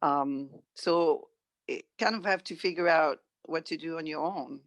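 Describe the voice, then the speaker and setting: A middle-aged woman from France with a French accent, reflecting conversationally as an interview guest in halting, thoughtful phrases. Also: low-pitched, no room sound.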